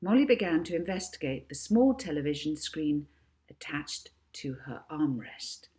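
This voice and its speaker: A woman's voice: deep voice, lively intonation, normal speed, dark tone. A woman narrating a children's storybook expressively, as an author reading her own book.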